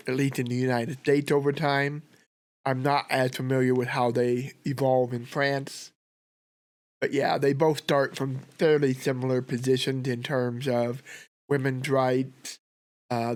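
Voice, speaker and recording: A man with a sore throat from a cold, hosting a history reaction with relaxed, rambling commentary. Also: mid-range voice, measured pace. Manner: relaxed, rambling, thinks aloud with fillers. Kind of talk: casual commentary.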